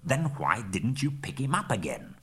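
A man's voice: medium pitch, sing-song, moderate pace, slightly rough, voice pushed up. A man voicing a stern, commanding old male wizard who scolds and gives orders, as a storybook reading. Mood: scolding. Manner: forceful, scolding.

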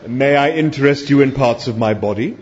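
A man's voice: mid-range voice, a little fast, a little mellow, close to an everyday voice. A man voicing a contented talking bovine animal from a comic story, offering itself politely like an obliging waiter. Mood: contentedly obliging. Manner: polite, deferential waiter-like salesmanship. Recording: dull, noisy recording.

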